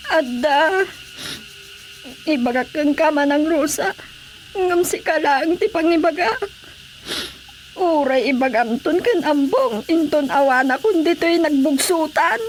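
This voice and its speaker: A woman's voice: high voice, expressive, measured pace, long pauses, slight background noise, rough recording. A woman playing a plaintive, wronged and sickly wife in a radio drama. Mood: sorrowful, pleading. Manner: plaintive, emotional. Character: tearful wronged wife, sickly, pleading and wounded.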